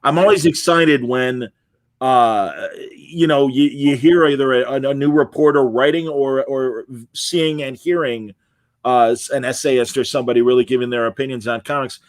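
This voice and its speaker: A man's voice: medium pitch, normal speed, no echo. A middle-aged man hosting a casual interview, chatting in an informal, enthusiastic way. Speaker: podcast host and interviewer.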